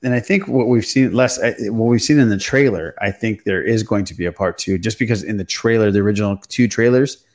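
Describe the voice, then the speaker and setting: A man's voice: on the low side, a little fast. A man hosting a podcast, chatting casually about films with a panel. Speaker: podcast host.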